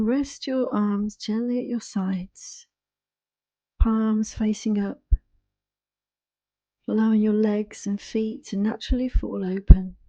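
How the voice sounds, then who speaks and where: A woman guiding a yoga nidra relaxation in a soothing, hushed, unhurried manner, close to the microphone. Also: medium pitch, dry recording, highs cut off. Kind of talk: guided relaxation meditation.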